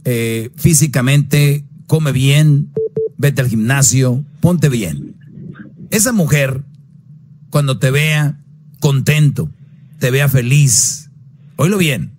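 A man's voice: a little mellow, husky. Blunt man giving radio advice. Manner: blunt, preachy, swings pitch up for emphasis.

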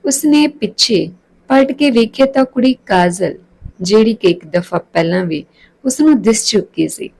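A woman's voice: a little high, expressive, measured pace, vocal fry, a little mellow, rough recording. A woman narrating a scripted story as a storyteller.

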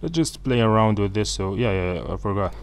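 Halting low-voiced man, Arabic native speaker. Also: animated, gravelly, clean tone. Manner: halting, many fillers and self-corrections. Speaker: programming tutor. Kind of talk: screen-recorded coding tutorial.

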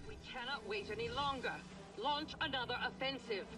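A woman's voice: a little high, moderate pace, lightly acted. A woman playing an older, hardened female general in a video game, defiant and commanding with a stern, authoritative manner. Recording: loud game music, slightly distant.

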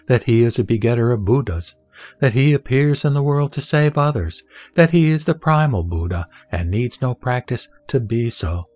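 Man calmly reciting scripture over soft music. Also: on the low side, dry recording. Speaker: scripture reader. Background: soft background music.

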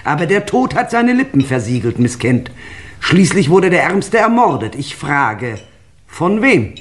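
A woman's voice: low voice, sing-song, a little slow. An actress in a German crime radio play, playing a loyal, accusing woman of a manor household, dramatic and pointed. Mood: indignant.

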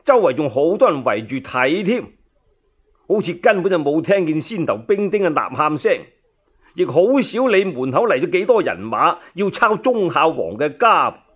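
A man with a Cantonese accent telling a historical novel as a solo storyteller, in an animated, colloquial and dramatic way. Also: fairly high pitch, moderate pace, husky. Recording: dull lo-fi radio recording.

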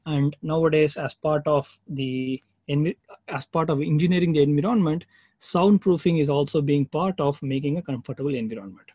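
A man's voice: on the high side, fairly quick, dark tone, breathy edge, no room sound. A man teaching an informal online technical lecture, explaining step by step and often checking in with the listener. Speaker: webinar instructor.

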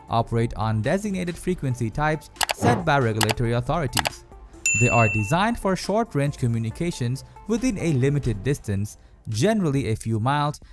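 Man narrating with lively, varied pitch. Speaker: video narrator. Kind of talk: scripted explainer narration. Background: faint background music.

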